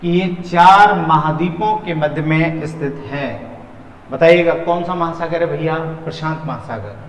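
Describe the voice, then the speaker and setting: Man, high-pitched voice, teacher lecturing, repetitive phrasing, self-answered questions, long hall-like reverb. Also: a little slow, a little hiss or hum. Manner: repeats phrases and asks questions he answers himself. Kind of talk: exam-prep classroom lecture. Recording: echoey hall-like room reverb.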